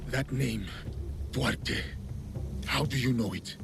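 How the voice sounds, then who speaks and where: A man's voice: mid-range voice, slow pace, creaky, noisy background. A man playing a stern, grimly insistent samurai warrior in a video game cutscene, terse and menacing. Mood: cold menace.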